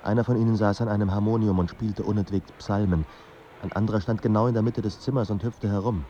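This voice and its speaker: A man narrating a melancholy literary radio drama in a measured, sombre manner. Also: on the low side, fairly even intonation, warm tone, highs cut off, slight background noise, natural voice.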